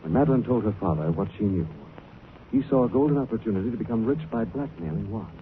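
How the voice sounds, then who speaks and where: An actor playing a suave, wry gentleman detective with an urbane manner in a 1940s radio drama. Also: lowish voice, expressive, fast, natural voice. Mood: smug, explanatory. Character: suave, wry gentleman amateur sleuth, affectionate and unruffled. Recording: tinny, noisy 1940s radio broadcast.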